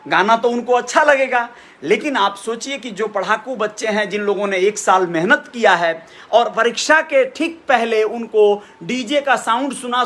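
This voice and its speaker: A man's voice: very high voice, a bit bright. A middle-aged man gives an informal, earnest opinion monologue to viewers, repeating phrases for emphasis. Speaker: vlogger giving opinions.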